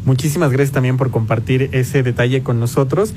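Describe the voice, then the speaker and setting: A man's voice: medium pitch, fairly even intonation, fairly quick. A man with a Mexican accent hosting an interview, putting formal, courteous questions to a guest. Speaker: interviewer, show host. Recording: clearly noisy ambience behind the voice.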